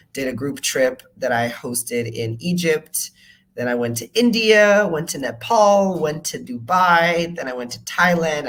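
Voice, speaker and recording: A man's voice: medium pitch, fairly bright tone. A man of about thirty from the United States, a podcast guest telling his story in a casual, chatty way with frequent fillers. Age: 30s. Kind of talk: interview storytelling.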